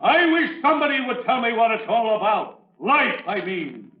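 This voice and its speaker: A plaintive stage actor at rehearsal in an old radio drama. The voice is high and swooping, heard far off in an echoing space. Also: male voice, sing-song, moderate pace, narrow, tinny audio. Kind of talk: 1940s-50s radio suspense acting. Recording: echoey, distant, band-limited old radio.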